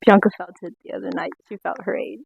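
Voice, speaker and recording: A woman's voice: mid-range voice, steady tone, quick pace, creaky, slightly rough, dry recording, muffled recording. A woman in her thirties chatting casually with friends, exclamatory and teasing as she tells stories. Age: 30s. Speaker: podcast co-host. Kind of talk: casual chat among friends.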